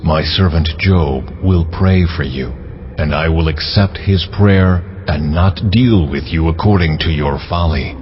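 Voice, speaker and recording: An actor playing God as a stern, majestic deity in a dramatized scripture reading, grand and solemn in delivery. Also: male voice, extremely low pitch, unhurried, noisy background. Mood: angry, commanding. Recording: muffled audio under a music bed.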